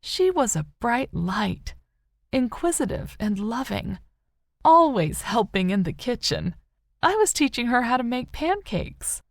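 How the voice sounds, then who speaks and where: A woman narrator voicing an older mother, grieving and wistful yet still hopeful, with a soft, sorrowful, tender manner in an audiobook. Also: fairly high pitch, big pitch swings, a little slow, dry recording, studio quality, pitched above the performer's own voice.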